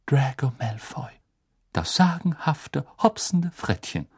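A man's voice: low voice, steady tone, normal speed, breathy edge, pitched below the performer's own voice. A man voicing a gleeful, dramatic teenage schoolboy in a fantasy audiobook. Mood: blissful, hushed.

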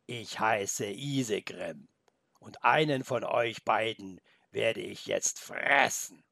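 A man's voice: lowish voice, gravelly, sharp tone, no echo, studio quality, exaggerated voice. A man growling as a greedy storybook wolf. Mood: menacing. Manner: growling, slow and threatening. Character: menacing big male wolf, greedy. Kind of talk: storybook reading.

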